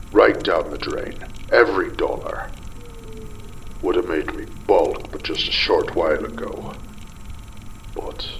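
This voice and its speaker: Man playing unraveling desperate father, gravelly and breathy, slow halting delivery, bitter muttering, audio drama monologue, faint ambience behind. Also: deep voice, fairly bright tone, a little hiss or hum, exaggerated voice, low-quality recording. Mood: bitter, agitated, then uneasy exhilaration. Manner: halting, muttering, broken pauses, bitter swearing. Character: desperate unraveling older father in a ruined underwater city.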